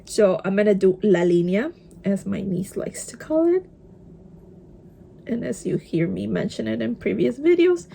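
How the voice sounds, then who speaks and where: A woman's voice: mid-range voice, very animated, a little slow, gravelly, mellow. A young woman with a Spanish accent, a native Spanish speaker, chatting casually while doing her makeup and dropping in Spanish words. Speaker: vlogger. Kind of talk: casual get-ready-with-me chat.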